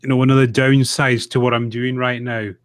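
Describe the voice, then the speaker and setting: A man's voice: medium pitch, little pitch movement, fairly quick, no echo, clean studio sound. A man chatting casually and conversationally as a podcast co-host.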